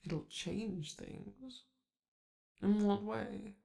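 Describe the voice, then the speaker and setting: A man's voice: sits high, very slow, gravelly, mellow, exaggerated voice. A man voicing a flamboyant, doting male robot star in a romantic ASMR roleplay, theatrical and coaxing, calling the listener darling. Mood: coaxing, flirty.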